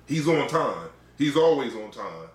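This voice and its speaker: A man's voice: mid-range voice, very animated, a little slow. American male preacher, middle-aged, informal exhorting sermon delivery, mid-range voice, recorded in an echoey small room. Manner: exhorting, emphatic repetition. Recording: echoey, roomy sound.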